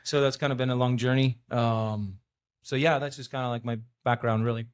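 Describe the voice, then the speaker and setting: A man in his forties from Norway, a youth soccer coach and native Norwegian speaker, calmly telling his story with frequent ums. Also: mid-range voice, a little fast, clean tone. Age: 40s. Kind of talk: casual interview answers.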